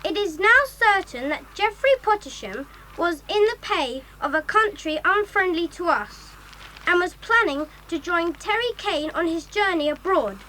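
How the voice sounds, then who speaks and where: An actress playing a cheeky, brisk young schoolboy in a children's adventure audio drama. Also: female voice, very high-pitched, lively intonation, sharp tone, a little hiss or hum, exaggerated voice, rough recording. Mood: curious.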